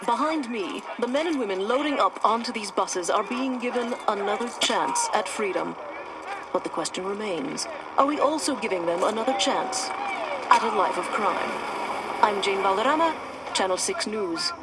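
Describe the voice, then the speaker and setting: A woman's voice: medium pitch, expressive, normal speed, lightly acted. A woman playing a poised, probing TV news reporter in a video game, formal and inquisitive. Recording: noisy outdoor street with vehicles.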